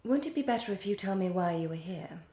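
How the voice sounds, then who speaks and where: An actress playing a cool, guarded, estranged wife in a period radio drama, speaking formally and with reserve. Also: female voice, medium pitch, animated, fast talker, natural voice. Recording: echoey room, quiet and distant.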